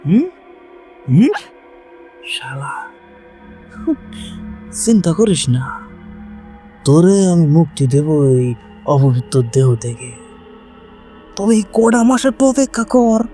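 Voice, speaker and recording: A man's voice: on the high side, sing-song, slow, pauses often, warm tone, soft background music. A man acting a cruel, ruthless occultist father in an audio story, threatening and coarse-tongued. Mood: menacing.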